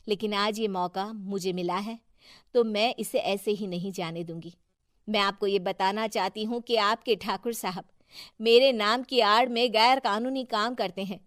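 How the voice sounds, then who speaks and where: A woman's voice: medium pitch, a bit bright, no room sound, studio quality, natural voice. A woman voicing a sidelined councillor's earnest character, a wife who speaks up with reproach in a cartoon story. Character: sidelined councillor wife, earnest, finally standing up to her husband. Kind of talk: scripted cartoon story dialogue.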